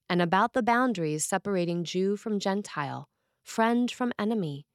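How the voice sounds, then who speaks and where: A woman priest reading a scripted sermon in a measured, earnest way with dramatic emphasis on key phrases. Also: medium pitch, dry recording, studio quality.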